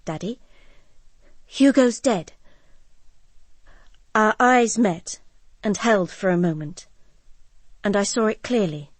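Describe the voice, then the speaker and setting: An actress playing a troubled, guarded grieving daughter, earnest and reflective, in an audio drama. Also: female voice, mid-range voice, no room sound, natural voice. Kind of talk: audio drama acting and first-person narration.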